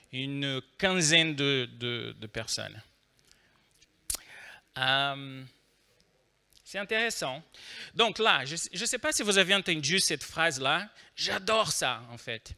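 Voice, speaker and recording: A middle-aged man with a Brazilian Portuguese accent gives a conference talk in a bright, clear tone and at an unhurried pace. He jokes with the audience and hesitates often, with fillers. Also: medium pitch. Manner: joking, hesitant with many fillers. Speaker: conference speaker.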